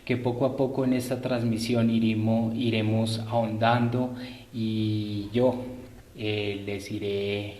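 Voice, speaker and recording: A man's voice: on the low side, flat delivery, slow, a little mellow, a little hiss or hum. A man hosting a live stream, politely greeting and informing viewers in a hesitant, improvised way. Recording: roomy echo.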